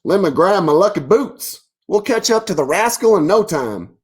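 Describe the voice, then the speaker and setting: Man playing a blustering storybook bison, pitched up. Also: animated, moderate pace. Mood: confident. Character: bold, blustering male bison in lucky boots. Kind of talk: children's picture book read-aloud.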